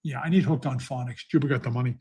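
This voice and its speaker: A middle-aged man with a very husky, rough voice, talking through horse races in a rambling, chatty way. He fills his thoughts with pauses and asides. The sound carries a slight echo from his basement home studio. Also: medium pitch, steady tone, a little mellow. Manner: chatty, rambling, thinks aloud with many fillers. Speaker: horse racing handicapper. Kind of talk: casual live-stream talk. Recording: echoey basement room.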